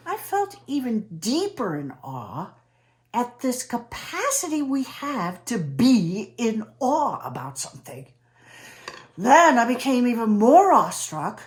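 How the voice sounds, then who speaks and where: A woman playing an eccentric, awestruck character in a theatrical comic monologue, telling her story with dramatic emphasis and pauses. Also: high-pitched, heavily acted. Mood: awestruck wonder. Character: eccentric, awestruck woman named Trudy who chums with space aliens.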